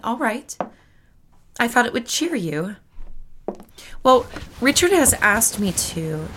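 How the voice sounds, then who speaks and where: An actress playing a prim, meddling secretary, solicitous and easily flustered, in a period audio drama. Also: female voice, on the high side, very animated, takes it slowly, a bit bright, slight background noise, exaggerated voice. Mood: solicitous, chatty. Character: fussy, meddling, prim female secretary and minder.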